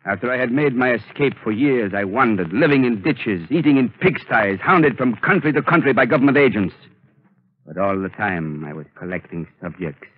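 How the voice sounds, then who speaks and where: An actor in 1930s radio drama playing a scheming male villain who controls minds, speaking smoothly and theatrically. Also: mid-range voice, lively intonation, moderate pace. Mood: menacing. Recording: tinny old radio broadcast recording.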